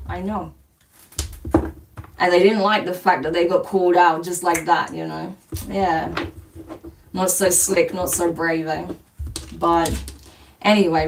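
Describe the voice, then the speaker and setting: A woman's voice: lowish voice, recorded from a distance, background noise. A woman from London with a slight New Zealand twang, giving a reassuring live spiritual reading to viewers, often checking in with "okay?" and "right?". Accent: London English with a slight New Zealand twang. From England. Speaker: spiritual reader. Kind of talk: live-stream collective tarot reading.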